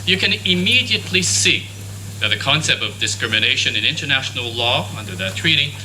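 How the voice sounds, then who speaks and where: A man whose first language is French delivers a formal conference lecture in a university hall. His speech is measured, with the occasional restart. The recording carries steady background noise. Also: mid-range voice. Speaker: conference speaker. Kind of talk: academic lecture. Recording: noisy conference room recording.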